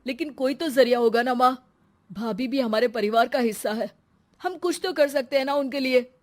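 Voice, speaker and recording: A woman voicing an earnest, protective college-age young man in an animated moral story. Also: high-pitched, moderate pace, natural voice. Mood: caring.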